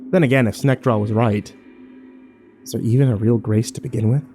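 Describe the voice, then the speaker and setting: A man's voice: mid-range voice, fairly even intonation, a little fast, mellow, soft background music, natural voice. A man playing a troubled, wary survivor who narrates his ordeal in a brooding first-person horror drama.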